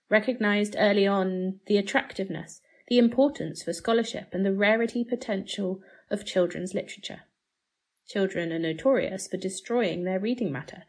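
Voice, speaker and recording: A woman giving a formal, measured scripted narration of an essay. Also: mid-range voice. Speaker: article narrator.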